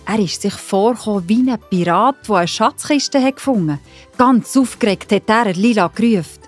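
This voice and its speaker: A woman with a Swiss German accent narrating a children's Bible story, gently and encouragingly addressing young listeners. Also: mid-range voice, expressive, normal speed. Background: background music.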